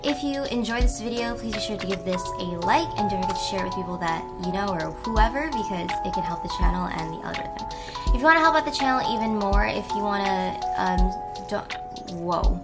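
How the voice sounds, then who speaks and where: A young woman hosting a gaming video, chatting casually and excitably, correcting herself as she goes, with game music behind. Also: mid-range voice, fairly quick. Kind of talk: casual, chatty gameplay commentary.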